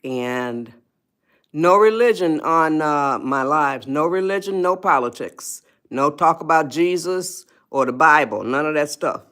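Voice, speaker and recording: A woman in her eighties hosting a casual livestream, chatting slowly and greeting viewers by name. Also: low voice, animated, fairly bright tone. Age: 80s. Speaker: livestream host. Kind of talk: casual livestream advice talk.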